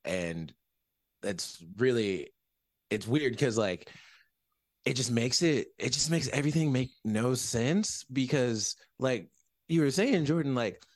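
A man joining a podcast as a guest, talking about a film in an animated, casual way. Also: mid-range voice, slow pace, dry recording, clean studio sound.

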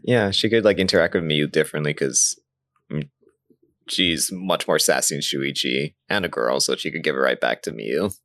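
A man's voice: rumbling low, normal speed, a bit bright, no echo, clean studio sound. A man chatting casually about video games as a podcast co-host.

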